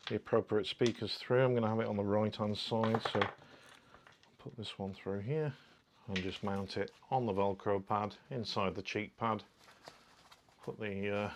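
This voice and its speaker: A man's voice: lowish voice, a little hiss or hum. A man presenting a product in a casual, informal way, explaining things step by step as he demonstrates. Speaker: product review host. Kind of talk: casual unboxing and fitting demonstration.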